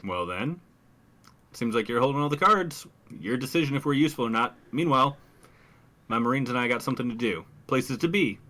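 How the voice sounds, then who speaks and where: Man playing dry, measured marine squad leader. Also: mid-range voice, animated, pushed and bright. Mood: dry, diplomatic. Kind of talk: tabletop roleplay acting.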